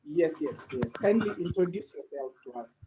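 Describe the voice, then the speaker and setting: A man's voice: fairly high pitch, expressive, normal speed, dark tone, a little hiss or hum, low-quality recording. Polite hesitant male panel host. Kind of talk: online panel moderation.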